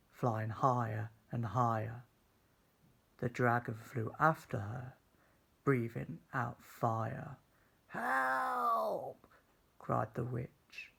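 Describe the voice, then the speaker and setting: A man reading a children's story aloud as storyteller, deliberately and with dramatic pauses. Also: medium pitch, creaky, a bit bright, breathy edge.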